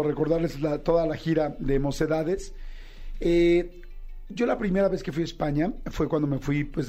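This is a man from Mexico with a Mexican accent, hosting a studio radio interview. His voice sits a bit high but stays mellow and soft-edged. He asks eager, curious questions and runs through details quickly. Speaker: radio host.

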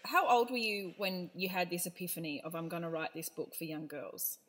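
A woman with a slightly low voice talks as a podcast host. Her style is casual and chatty, with an effusive, teasing, informal way of talking. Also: steady tone, fairly quick, a bit bright, slightly rough, low-quality recording. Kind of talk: casual chat.

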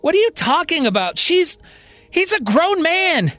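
A man's voice: very high voice, sing-song, extremely slow, pushed and bright, dry recording, voice pushed up, exaggerated voice. A man voicing a confused, frustrated man in an audio drama, flustered and protesting. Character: confused, frustrated young-sounding man, easygoing smoker.

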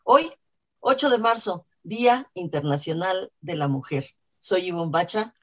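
A woman's voice: fairly low pitch, animated, unhurried. A woman with a Mexican accent hosting a radio talk show, enthusiastic and chatty, with frequent fillers. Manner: warm, enthusiastic, many fillers. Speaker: radio host. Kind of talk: radio interview.

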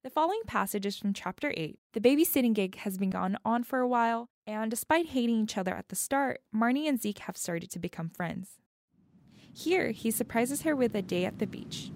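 A woman's voice: fairly high pitch, lively intonation, fairly quick, a little mellow, dry recording, clean studio sound. A young woman hosting a podcast with scripted, confiding and playfully dramatic personal narration. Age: young adult. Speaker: podcast host.

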